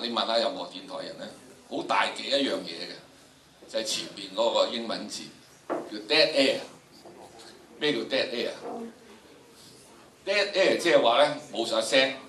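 A man's voice: medium pitch, fairly bright tone. An older man with a Hong Kong Cantonese accent teaches a drama workshop in a theatrical, emphatic lecturing style, addressing students directly. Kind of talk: lecture with dramatic recitation demonstrations. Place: large lecture room, indoors. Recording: echoey lecture hall, distant, noisy.

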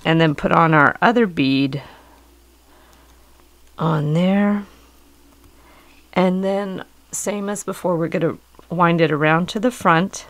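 Middle-aged woman with a cold, low gravelly voice, calmly walking you through a craft, dry close sound. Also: dry recording. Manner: calm, patient, explanatory. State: hoarse from a cold. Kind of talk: step-by-step craft instruction. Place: indoors at a work table.

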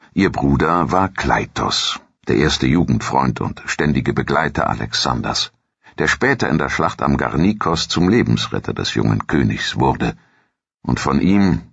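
A man reading a scripted historical audio documentary as narrator, in a measured, formal storytelling delivery. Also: very deep voice, gravelly, a bit bright, slightly rough, no echo, lo-fi sound.

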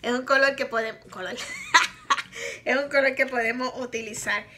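A woman's voice: fairly high pitch, fairly even intonation, moderate pace, pushed and bright. A woman in her thirties from Puerto Rico with a Puerto Rican accent, chatting frankly in a makeup review vlog and laughing often. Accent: Puerto Rican Spanish. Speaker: makeup vlogger. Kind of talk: casual product review. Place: bedroom, indoors, small.

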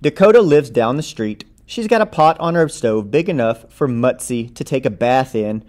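A man with a mid-pitched voice reads a picture book aloud. He is a playful storyteller who acts out the story with plenty of expression. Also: animated, moderate pace, darkish tone.

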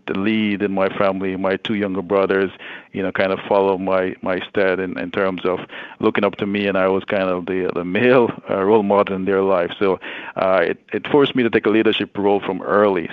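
A man in his forties from Jamaica, a guest speaking earnestly with many pauses and fillers. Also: low voice, no room sound, narrow, tinny audio. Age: 40s. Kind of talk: casual interview.

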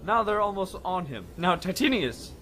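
A man's voice: high-pitched, sing-song, normal speed, fairly bright tone, a little hiss or hum. A man playing an anxious, obedient bondman in an old-time radio Shakespeare drama. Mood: alarmed.

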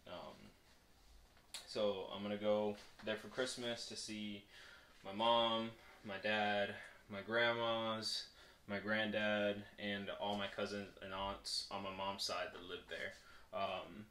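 Low-pitched young man vlogging casually. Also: flat delivery, slow pace, pushed and bright, a little hiss or hum. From Puerto Rico. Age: 20s. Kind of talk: casual vlog chat and product review.

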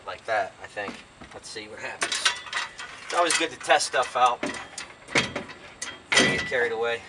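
Older American male DIY vlogger, mid-pitched, folksy and rambling, recorded at a distance with loud background noise. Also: expressive, unhurried. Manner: folksy, rambling, talking to himself while working. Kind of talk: casual chat while doing a hands-on job. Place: inside open cargo van beside a garage, outdoors. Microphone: camera a few feet away. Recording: distant camera mic, loud noise, clicks.

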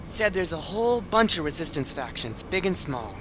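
A man voicing an excitable, cocky young fighter in an amateur game fandub, with slangy, eager delivery. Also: high voice, normal speed, noisy background. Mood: chatty, informative.

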